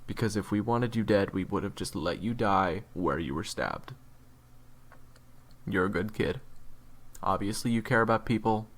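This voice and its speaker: A man playing a jaded, blunt monster hunter in an audio roleplay, speaking frankly and earnestly to a rookie, with profanity and halting delivery. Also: fairly low pitch. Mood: earnest, weary. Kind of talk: dramatic audio roleplay monologue.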